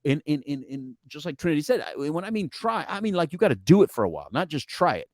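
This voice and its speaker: A man's voice: a little high, big pitch swings, fast talker, no room sound, studio quality. A man co-hosting a casual talk show, giving blunt advice with teasing humor and repeated emphatic phrases. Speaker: podcast co-host.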